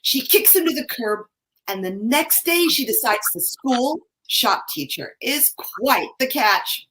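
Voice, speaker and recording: A woman's voice: a little high, lively intonation, takes it slowly, fairly bright tone, slight background noise, low-quality recording. A woman playing a sweet, sentimental theater club member, gushing and dreamy, in a community staged reading. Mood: eager retelling.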